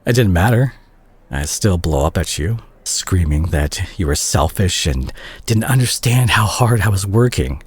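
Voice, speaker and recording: Husky, low-voiced remorseful ex-boyfriend played by a man. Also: lively intonation, full character voice. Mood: remorseful, confessional. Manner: halting, emotional, with sighs and repeated words. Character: remorseful male doctor ex-boyfriend, tender and guilt-ridden. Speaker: audio roleplay performer. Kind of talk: intimate romantic audio drama monologue.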